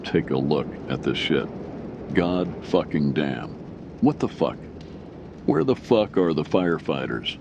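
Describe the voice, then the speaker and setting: A man's voice: animated, a little slow, exaggerated voice. A man playing an angry, panicked local resident in an audio drama, blunt and swearing heavily. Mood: angry disbelief. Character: angry panicked male resident calling about wildfire. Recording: muffled, noisy, rumbling recording.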